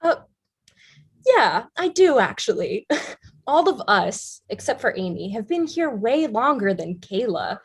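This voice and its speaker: A woman's voice: a little high, big pitch swings, a little slow, husky, exaggerated voice. A woman playing a bitter, combative young woman in a treatment group in a staged play reading, blunt, sarcastic and profane. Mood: scornful, resentful.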